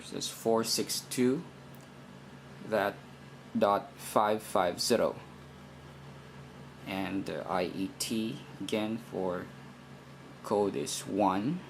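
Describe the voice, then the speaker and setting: Male tutorial presenter, low-pitched and creaky, hesitant, working through steps aloud over a noisy amateur recording. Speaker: radio programming demonstrator. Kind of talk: unscripted hands-on tutorial. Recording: noisy amateur recording, steady background noise.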